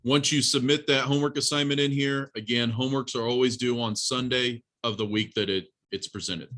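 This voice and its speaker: Bright-voiced male college programming instructor. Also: medium pitch, fairly even intonation, brisk. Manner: plain-spoken, instructive, addresses students directly. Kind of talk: informal classroom lesson with live coding demonstration.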